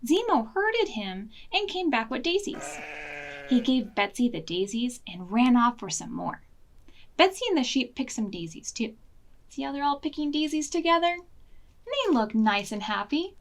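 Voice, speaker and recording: A woman's voice: sits high, very animated, normal speed. A woman reading a picture book aloud to preschoolers as a storytime reader, with dramatic emphasis. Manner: dramatic emphasis, questions to young listeners. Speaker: children's library storytime reader.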